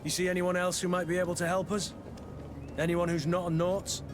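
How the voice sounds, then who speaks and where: A man's voice: high-pitched, flat delivery, a little fast, over music, close to an everyday voice. An actor playing a sceptical, loyal male companion in a video game, plain-spoken and questioning.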